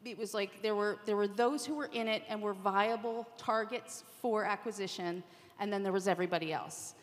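A woman's voice: medium pitch, normal speed. Woman panelist in a reverberant hall. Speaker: podcast industry revenue executive, panelist. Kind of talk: conversational panel talk. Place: large room at a conference panel. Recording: echoey hall with long reverb.

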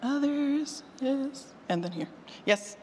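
A woman's voice: high voice, lively intonation, takes it slowly, dark tone. Chatty lady doing a workshop q&a, kinda self-deprecating, big echoey room. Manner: chatty, informal, self-deprecating, laughs readily. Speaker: conference speaker on audio drama writing. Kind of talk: casual workshop question-and-answer talk. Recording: echoey hall with long reverb.

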